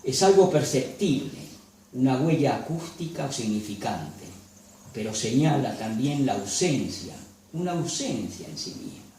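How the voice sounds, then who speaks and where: A man reads a dense academic lecture in a measured, formal tone. His voice sits in an ordinary middle pitch. It is picked up from some distance and rings through a strongly reverberant hall. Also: lively intonation, mellow, slight background noise. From Galicia. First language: Galician. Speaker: lecturer and photographer. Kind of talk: reading a prepared academic lecture.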